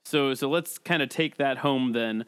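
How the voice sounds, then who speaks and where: A man's voice: mid-range voice, moderate pace, clear, resonant tone, no echo. A man hosting a casual comedy discussion, teasing and mock-stern as he moderates. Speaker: podcast host and moderator.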